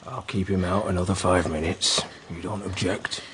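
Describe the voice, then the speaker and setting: An actor playing a desperate, vengeful, ruined father in a radio drama, brooding and intense, with a gravelly voice. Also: deep voice, fairly even intonation, moderate pace, very noisy, natural voice. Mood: menacing.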